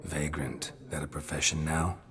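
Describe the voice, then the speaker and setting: Very deep, terse monster hunter. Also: male voice, moderate pace, crowd noise. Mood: guarded. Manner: terse, deadpan, laconic. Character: gruff, terse, world-weary monster-hunting witcher man. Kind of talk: video game voice acting.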